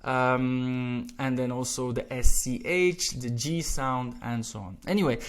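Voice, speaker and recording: A Belgian man with a Flemish accent, a Dutch speaker teaching pronunciation in English, patient and repeating each word slowly. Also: medium pitch, fairly even intonation. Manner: patient, repeats words slowly, says "all right" often. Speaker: language teacher. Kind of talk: pronunciation lesson.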